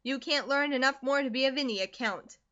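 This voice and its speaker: A woman reads aloud and puts on a harsh middle-aged farm mother who scolds her daughter. She is loud, sharp and unbending, with a high, bright tone. The recording is dull and lo-fi. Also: a little fast. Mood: scolding.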